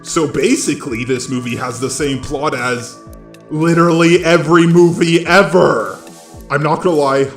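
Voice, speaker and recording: A synthetic robot male voice reading a scripted video essay narration in a flat, mechanical text-to-speech delivery. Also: medium pitch, echoey room.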